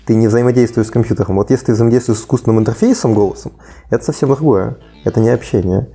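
A man in a casual panel discussion who argues quickly and bluntly, correcting the others. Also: mid-range voice, dark tone, breathy edge, soft background music.

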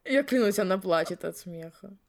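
A woman's voice: mid-range voice, lively intonation, moderate pace. A woman co-hosting a podcast, moving between casual chat and reading story narration, playful, teasing and given to swearing. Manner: playful, teasing, foul-mouthed. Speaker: podcast co-host.

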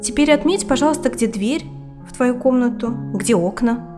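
An actress plays a female psychotherapist in her thirties in an audio drama. Her voice sits a little high and she speaks in a measured, attentive way, steering with questions. Music plays clearly underneath. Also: lively intonation, natural voice. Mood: calm, guiding.

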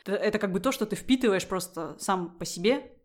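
A woman's voice: mid-range voice, quick pace. A young woman from Russia, a podcast guest, talking things through in a casual, reflective way with many fillers and frequent laughs. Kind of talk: casual conversation.

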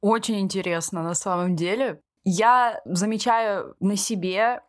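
Casting: female podcast host, mid-range pitch, informal chatty interview delivery. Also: unhurried. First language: Russian. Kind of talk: casual conversational interview.

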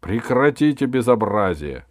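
A man voicing a hot-tempered, imperious king in a storybook, booming and pompous. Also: unhurried, a little mellow, no echo, clean studio sound, lightly acted. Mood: furious, loud.

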